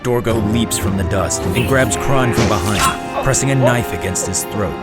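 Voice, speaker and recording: A man narrates science fiction action dramatically from a script. His voice is lowish to medium for a man, set over a very prominent musical score. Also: moderate pace. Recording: loud music and effects behind.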